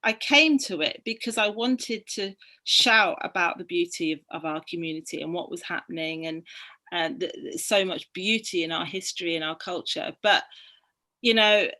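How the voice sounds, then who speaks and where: A woman's voice: lowish voice, normal speed, bright, forceful tone. A middle-aged woman from Barbados, a guest in a conversational discussion, speaking earnestly and passionately while thinking aloud. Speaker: guest, book activist.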